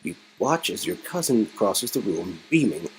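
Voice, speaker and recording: A man's voice: fairly low pitch, sing-song, moderate pace, slightly rough. A man reading a story game aloud as host, playfully dramatizing it and breaking off into joking commentary. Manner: playful, riffing, swings into exclamations and mock voices.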